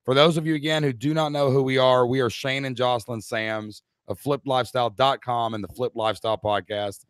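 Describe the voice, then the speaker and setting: A middle-aged man, hoarse-voiced, co-hosting a live online Q&A and talking straight to the chat audience in an upbeat way. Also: medium pitch, fairly even intonation, fairly quick, no echo.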